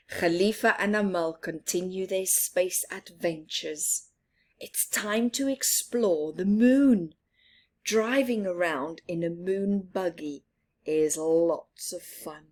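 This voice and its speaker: A woman reading a children's picture book aloud, expressive, with soft laughs and whispered asides. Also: on the low side, slow. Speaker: storyteller.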